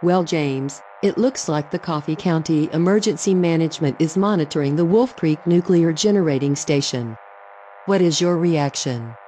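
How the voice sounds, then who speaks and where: Low, mellow female radio host, acted. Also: moderate pace, natural voice. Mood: composed, concerned. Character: composed female radio host facing an emergency. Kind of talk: scripted radio drama dialogue. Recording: noisy broadcast with hum.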